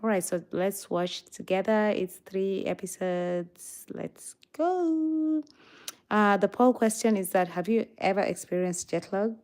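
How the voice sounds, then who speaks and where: Slow-paced tired young woman, casual livestream host chatting with viewers. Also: mid-range voice, expressive, darkish tone. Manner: reads out chat comments and greets viewers.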